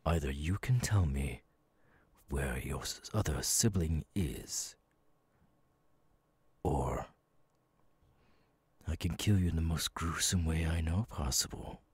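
A man voicing a menacing, imperious demon lord, cold and cruel, with a husky, breathy voice, in an ASMR audio roleplay. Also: very deep voice, lively intonation, darkish tone, dry recording, heavily acted. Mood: cruel, threatening. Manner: slow, deliberate, commanding, threatening.

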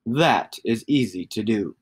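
Man putting on a slow-talking, mysterious magical shapeshifter. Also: on the low side, lively intonation, slightly rough, voice pushed down. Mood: obliging, knowing. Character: mysterious shapeshifter, obliging magical wish-granter.

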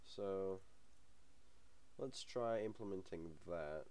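A man's voice: low-pitched, slow pace, a little mellow. A young man hosting a gaming channel, talking casually over a game. He has a cold and the sniffles, and he rambles with many ums and small chuckles. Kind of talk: casual let's-play commentary.

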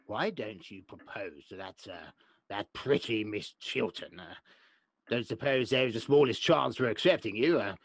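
Man acting a blustering, creaky old lord. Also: on the low side, expressive, moderate pace. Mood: scolding. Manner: hesitant, sputtering, haughty scolding. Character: blustering, crotchety old English lord, disapproving father. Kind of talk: radio play acting.

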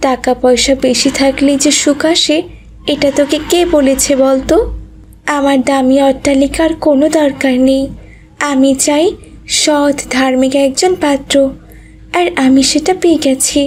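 A woman's voice: sits high, little pitch movement, moderate pace, darkish tone, a little hiss or hum, natural voice. A woman voicing a devout, resolute young elder sister in a cartoon story, earnest and moralizing. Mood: firm, earnest.

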